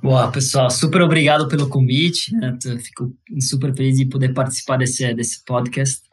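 A man's voice: mid-range voice, fairly even intonation, moderate pace, a little hiss or hum. A man from Sicily with an Italian accent, a native Italian speaker, explaining as an interview guest and often adding small tag questions. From Sicily, Italy. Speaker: guest, corporate venture executive.

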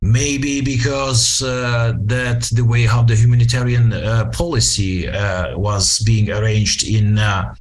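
A man in his late forties with a Ukrainian accent giving reflective interview answers, halting and searching for words in formal, wordy phrases. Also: mid-range voice, steady tone. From western Ukraine, Transcarpathia. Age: late 40s. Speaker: interview guest, lawyer.

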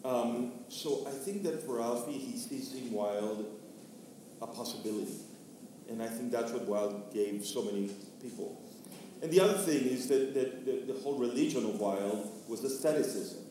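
Mellow man in a very echoey room. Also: mid-range voice, moderate pace. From Venezuela. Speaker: panelist, theatre artist discussing a play. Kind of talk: thoughtful discussion. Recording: distant mic, echoey room, faint noise.

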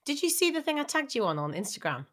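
A woman's voice: high-pitched, very animated, quick pace, a bit bright. A woman and former opera singer co-hosting a casual podcast, chatty and emphatic as she explains things. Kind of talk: casual chat.

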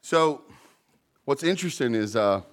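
Emphatic preaching man, lively swings. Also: mid-range voice, a little slow. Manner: emphatic preaching, calls to the congregation; jokes about mumbling. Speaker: pastor. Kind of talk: sermon.

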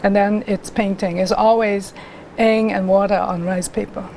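A middle-aged woman, telling her life story as a guest artist in an interview, haltingly, with many restarts and pauses. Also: mid-range voice, level tone, darkish tone, a little hiss or hum, low-quality recording. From Hong Kong.

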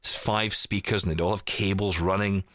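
A man hosting a tech podcast, chatting casually and telling stories with quick asides. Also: sits low, steady tone, fairly bright tone, no room sound, clean studio sound. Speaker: podcast host. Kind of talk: casual chat.

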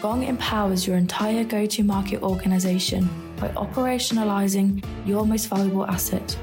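A woman's voice: medium pitch, moderate pace, over music. Woman reading a sponsor ad. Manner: smooth, even ad delivery. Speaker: sponsor announcer. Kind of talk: scripted ad read.

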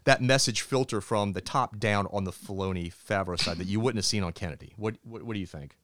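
A middle-aged man co-hosting a casual podcast, talking in an animated, opinionated way and acting out quotes in other voices. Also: on the low side, a little fast, background noise. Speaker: podcast co-host.

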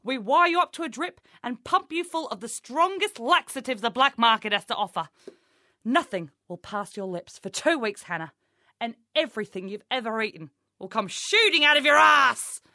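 Brash, insulting woman, bright voice. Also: a little high, animated, normal speed, no echo, clean studio sound. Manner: brash, insulting, mock-motivational. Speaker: comic playing a life coach. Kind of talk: character comedy monologue.

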